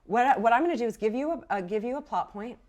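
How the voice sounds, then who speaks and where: A woman's voice: fairly high pitch, lively intonation, rapid-fire, background noise. A woman game master narrating and explaining rules off the cuff in an encouraging way. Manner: encouraging, affirming.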